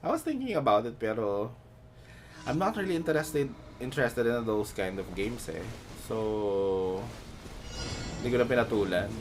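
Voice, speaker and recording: A man's voice: mid-range voice, lively intonation, normal speed, slight background noise. A man with a Filipino accent chatting casually as a game streamer, switching between two languages. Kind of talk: casual chat, switching between Tagalog and English. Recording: game music playing over the voice. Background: game music.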